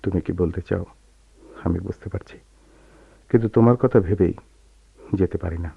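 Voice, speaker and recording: Deep-voiced, melancholy Bengali gentleman. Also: vocal fry, breathy edge, dry recording, exaggerated voice. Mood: pained restraint. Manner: measured, literary phrasing. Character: melancholy, soft-spoken educated gentleman, self-sacrificing former lover. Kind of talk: Bengali radio drama acting. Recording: dull lo-fi radio drama recording.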